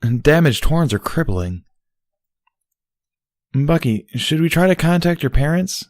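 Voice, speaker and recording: A man's voice: a little high, lively intonation, unhurried, no echo, studio quality, voice pushed up. A man voicing a brash, hearty, loyal young female pony in an audio story, blunt and emphatic. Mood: concerned.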